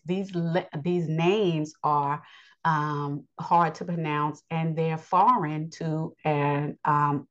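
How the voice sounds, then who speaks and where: A woman from the United States giving an unscripted Bible lesson, earnest and repetitive with frequent ums. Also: low-pitched. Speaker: Bible teacher. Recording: dull lo-fi audio.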